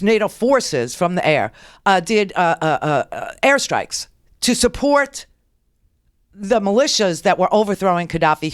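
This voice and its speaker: A woman's voice: on the low side, dry recording, clean studio sound. A woman hosting a political talk radio show, lecturing her listeners with brash sarcasm.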